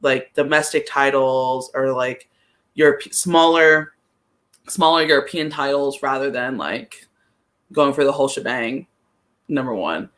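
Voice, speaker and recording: A podcast guest giving casual soccer commentary at a middling pitch. The delivery is conversational and a little hesitant, working thoughts out aloud with plenty of "like" along the way. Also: unhurried, fairly bright tone. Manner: hesitant, thinking aloud, frequent use of "like".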